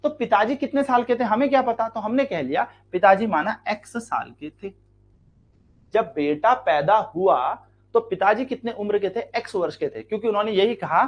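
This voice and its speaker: An Indian man with a fairly high voice teaches a maths lesson for exam students. He works through each step, poses questions to the class and answers them himself, repeating key lines and drawing words out for stress. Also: breathy edge.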